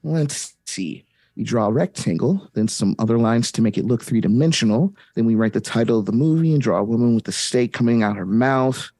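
A chipper kids' show host, read by a woman, sing-song and eager. The voice is low-pitched and a little husky, and it swoops animatedly. The sound is dry and close. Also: animated, a little fast, mellow, dry recording, heavily acted. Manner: perky, sing-song children's TV host delivery. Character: upbeat kids' show host guiding viewers through clues. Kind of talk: comedic table read of a kids' show script.

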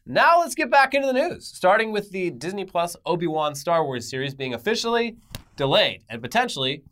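A man's voice: high-pitched, very animated, brisk, a bit bright, slight background noise. A man co-hosting an entertainment news show, giving wry, conversational commentary and reading news and sponsor copy casually.

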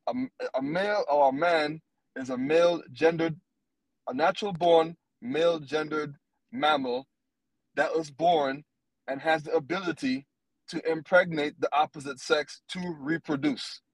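A man in his thirties co-hosting a podcast, talking in a blunt, animated and profane way. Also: on the high side, unhurried, no echo, rough recording. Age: 30s. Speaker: podcast co-host. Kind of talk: casual podcast chat.

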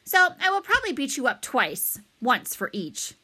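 A woman's voice: high-pitched, huge pitch swings, normal speed, sharp tone, slightly rough, pitched above the performer's own voice, full character voice. A woman voicing a boastful, menacing schoolboy bully in a children's storybook, with emphatic, pompous delivery. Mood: menacing, smug. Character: boastful, menacing schoolboy bully, self-righteous rule follower.

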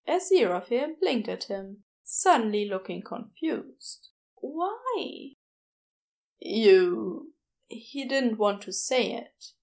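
Fiction narrator, female: high register, expressive pitch movement, measured slow delivery. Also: vocal fry. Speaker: audiobook narrator.

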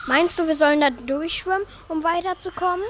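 An eager, naive young adventurer played by a schoolchild in a children's radio play. The voice is high and earnest, over clearly noisy sound. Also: fairly quick, fairly bright tone. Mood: curious.

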